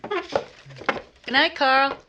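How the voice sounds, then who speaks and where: A woman's voice: high voice, extremely slow. A woman playing a candid, probing, wry romantic lead in a 1950s-style radio drama, often with short, pointed questions. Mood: guarded. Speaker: actor in an audio drama. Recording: clear boat and waterfront ambience noise. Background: boat and water sound effects.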